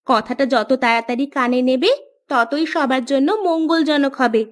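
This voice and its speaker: Woman acting high-voiced, sharp-tongued young village wife. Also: unhurried. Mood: scolding. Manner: bossy, bickering, practical. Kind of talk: cartoon dialogue.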